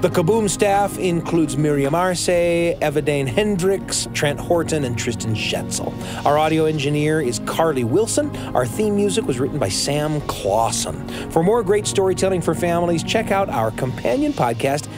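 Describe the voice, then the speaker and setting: A man's voice: fairly high pitch, expressive, moderate pace, few pauses, music in the background. A man hosting a podcast in an earnest, reflective, conversational way, partly from a script. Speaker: podcast host.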